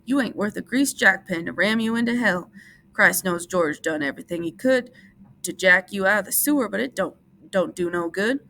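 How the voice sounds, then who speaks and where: A woman's voice: medium pitch, moderate pace, sharp tone. Woman voicing a scornful giant rabbit. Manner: scornful, taunting.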